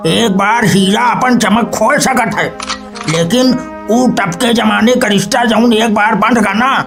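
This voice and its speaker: Creaky, high-pitched old village uncle. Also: male voice, unhurried, music in the background. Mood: preachy scorn. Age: older. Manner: preachy, crude, scolding. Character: cranky old village uncle, scornful of modern love. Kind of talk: comic cartoon dubbing.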